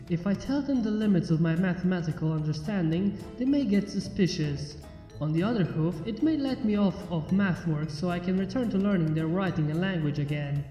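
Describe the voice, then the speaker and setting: A woman's voice: fairly low pitch, warm tone, rough recording. A woman reading a first-person fan fiction story aloud as its narrator. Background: faint music.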